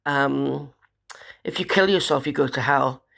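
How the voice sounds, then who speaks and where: A woman, hoarse from a cold, talking in a despairing, rambling way about her troubles. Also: extremely low pitch, a little slow, a bit bright. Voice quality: gravelly. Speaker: vlogger. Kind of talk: rambling personal monologue.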